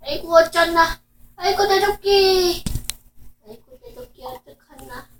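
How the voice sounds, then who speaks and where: A woman's voice: very high-pitched, measured pace, pitched above the performer's own voice. A young woman playing a whiny, greedy woodcutter older brother in a fairy-tale game, on a noisy, distant microphone. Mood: lamenting. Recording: noisy, echoey, distant mic.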